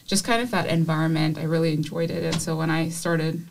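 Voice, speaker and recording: A young woman from Nepal, an engineering professor, answering interview questions in a measured, thoughtful way with frequent fillers. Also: deep voice, fairly even intonation, gravelly. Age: young adult. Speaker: assistant professor of chemical engineering, guest.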